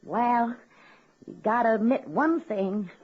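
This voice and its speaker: An actress playing a folksy, good-hearted woman in a radio comedy-drama, husky and plain-spoken. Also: on the high side, expressive, takes it slowly, exaggerated voice. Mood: rueful, consoling. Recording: muffled old radio recording.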